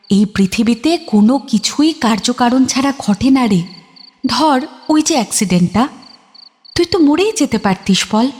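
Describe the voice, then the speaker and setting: A woman's voice: fairly high pitch, darkish tone. An actress playing a mysterious young woman who speaks intimately and persuasively in an audio drama. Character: mysterious young woman, embodiment of a hidden will to live.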